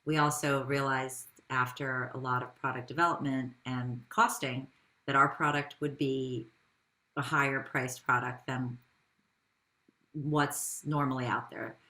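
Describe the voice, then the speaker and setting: A woman's voice: very deep voice, fairly even intonation, measured pace, bright, forceful tone. A woman speaking as a business founder in an interview, telling her story in a conversational way with rhetorical questions.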